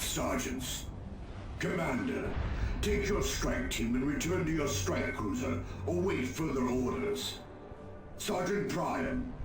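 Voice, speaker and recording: An actor playing a stern, commanding space marine captain in a game, curt and authoritative. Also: male voice, mid-range voice, heavy reverb, rough recording. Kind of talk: scripted game cutscene acting.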